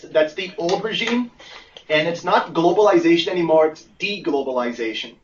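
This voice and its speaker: Echoey, distant higher-voiced man on conference call. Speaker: guest investment analyst. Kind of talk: explanatory conference call commentary. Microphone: conference call line. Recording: muffled, echoey, far from the mic.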